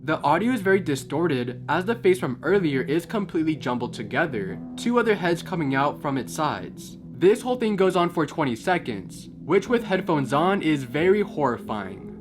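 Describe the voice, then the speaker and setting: A man's voice: a little high, very animated, normal speed, few pauses, over music. A man giving scripted commentary on videos as a guest narrator.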